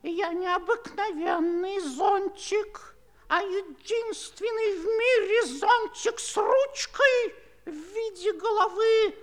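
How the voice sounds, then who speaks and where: An actress playing a touchy, self-important talking parrot-headed umbrella in a 1960s radio play, drawing out her words. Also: extremely high pitch. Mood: offended, proud. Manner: slow, drawn-out, self-important.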